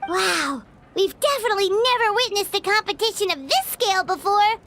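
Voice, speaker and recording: A woman's voice: expressive, a little fast, noisy background. A woman voicing a small, childlike, bubbly flying companion girl in an anime-style video game. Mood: excited. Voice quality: squeaky, childlike. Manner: bubbly, exclamatory. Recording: music behind the voice.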